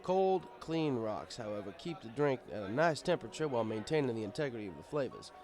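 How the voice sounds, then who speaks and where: Lively male storybook narrator, wry. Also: medium pitch, a little fast, over music. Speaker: story reader. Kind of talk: first-person scripted narration, fan fiction audiobook.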